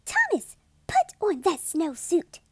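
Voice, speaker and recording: A woman's voice: extremely high pitch, huge pitch swings, slow pace, darkish tone, no echo, pitched above the performer's own voice, exaggerated voice. A woman voicing a mother who coaxes and gets exasperated, sing-song and emphatic, in a children's storybook. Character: Thomas's mother, coaxing then exasperated. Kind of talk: children's storybook read-aloud.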